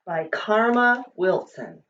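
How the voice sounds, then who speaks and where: A woman reading a children's picture book aloud in an expressive, sing-song storytelling manner. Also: mid-range voice, takes it slowly. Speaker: storybook reader. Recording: slightly dull, distant microphone.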